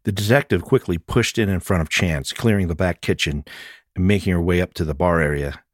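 A man's voice: sits low, a little fast, no room sound, clean studio sound. A man reading scripted mystery fiction aloud as an audiobook narrator. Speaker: author reading own audiobook.

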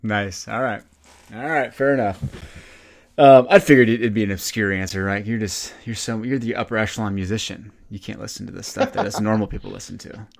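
A man hosting a podcast, asking quick questions and reacting with upbeat interjections. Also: lowish voice, steady tone, gravelly. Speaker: podcast host.

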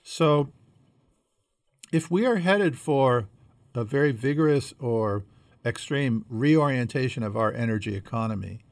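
A man co-hosting a conversational podcast, explaining things in a measured way as he thinks aloud. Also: medium pitch, animated, no echo, studio quality. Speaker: podcast co-host.

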